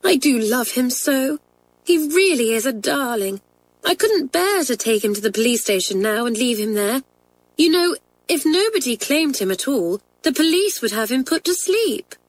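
A woman voicing an earnest, soft-hearted schoolgirl in a storybook, anxious and pleading. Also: sits high, sing-song, fairly quick, gravelly, hardly any pauses, slightly rough, pitched above the performer's own voice, exaggerated voice, low-quality recording.

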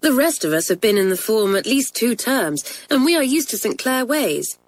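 A woman's voice: a little high, big pitch swings, normal speed, voice pushed up. A woman reading an audiobook voices a sensible, kindly schoolgirl who speaks reassuringly.

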